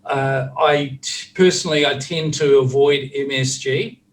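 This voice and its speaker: A man's voice: mid-range voice, steady tone, a little slow, far from the mic. A man with a New Zealand accent, chatting casually on a video call as a recovery specialist, with lots of fillers and restarts.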